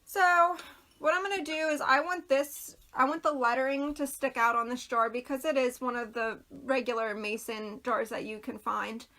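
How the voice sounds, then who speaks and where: A woman talks her viewers through a craft project in an easy, rambling way, greeting them by name as she works. Her voice sits high and bright, with a slight worn, throaty edge. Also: steady tone, moderate pace. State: losing her voice, clearing throat. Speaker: craft video host. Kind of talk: casual livestream craft chat.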